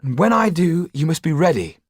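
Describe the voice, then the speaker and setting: A man's voice: on the high side, sing-song, fairly quick, breathy edge, no echo, clean studio sound, pitched above the performer's own voice. A man voicing an earnest young hero with an urgent, pressing manner in an audiobook. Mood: desperate.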